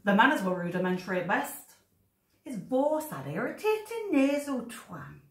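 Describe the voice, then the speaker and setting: Actress as scornful posh-mannered wife, swooping intonation, sardonic, wordy, theatrical, echoey room. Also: female voice, fairly high pitch, big pitch swings, moderate pace. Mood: scornful, exasperated. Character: snobbish, scornful wife of seventeen years. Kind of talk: theatrical monologue. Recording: echoey room, distant mic.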